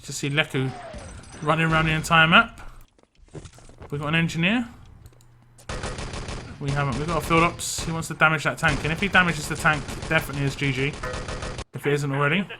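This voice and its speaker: A man casting a video game match live, tired and losing his voice, with frequent fillers and quick self-corrections. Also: fairly high pitch, fairly even intonation, background noise. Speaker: esports caster.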